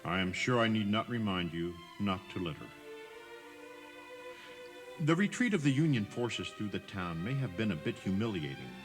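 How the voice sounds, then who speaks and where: Animated low-voiced male tour guide over music. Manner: explanatory, addresses listener directly. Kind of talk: scripted audio tour narration.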